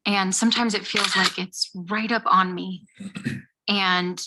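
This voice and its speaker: An actress playing an anxious young woman who vents in halting, emotional bursts about pressure in her head. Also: medium pitch, fairly even intonation, noisy background, natural voice. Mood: frustrated. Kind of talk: short comedy film acting.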